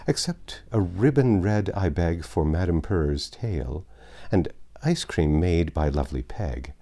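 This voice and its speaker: Male narrator for a classic novel: very low, lively intonation, soft-edged mellow tone, measured storytelling. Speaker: audiobook narrator. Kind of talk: reading a classic novel aloud.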